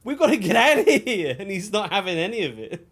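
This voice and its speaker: A man's voice: sits high, big pitch swings, brisk, fairly bright tone, slightly rough. A man livestreaming a video game, chatting casually and telling rambling anecdotes with dry asides. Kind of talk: casual chat while playing a video game.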